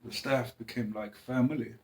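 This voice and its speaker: A man speaking in a halting, hesitant way, with restarts and long pauses, in a personal monologue. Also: mid-range voice, fairly even intonation, a little mellow. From Greenland. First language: Danish.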